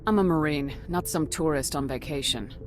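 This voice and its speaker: A woman's voice: low voice, normal speed, lightly acted. A woman acting a tough, no-nonsense marine commander in a video game, curt and authoritative. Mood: guarded. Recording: music behind the voice. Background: music.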